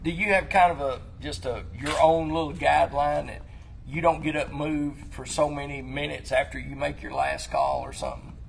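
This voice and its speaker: A man with a Tennessee Southern accent and a hoarse voice, chatting casually and folksily as host of an outdoors talk show. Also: fairly high pitch, expressive, moderate pace. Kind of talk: casual hunting chat.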